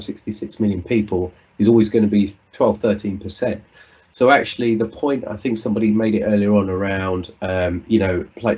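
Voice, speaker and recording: A man's voice: fairly low pitch, a little mellow, slightly rough, lo-fi sound. A British man giving an earnest, reflective conference talk over a video call, often restarting his sentences. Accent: British English. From United Kingdom. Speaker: conference speaker.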